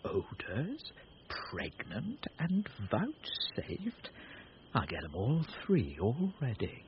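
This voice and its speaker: A man playing a foolish, envious knight in Shakespearean radio comedy, halting over fancy words. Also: medium pitch, huge pitch swings, very noisy. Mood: envious, marvelling. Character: foolish male knight, envious, easily impressed. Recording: dull recording, plucked music behind.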